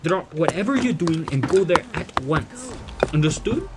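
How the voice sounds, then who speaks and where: A man playing a kindly, learned, wordy gentleman who explains things formally in an audio drama. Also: on the high side, animated, moderate pace, very noisy, natural voice. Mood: patient, reassuring. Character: kindly, learned, verbose gentleman leading a group of children. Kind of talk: audio drama dialogue and narration.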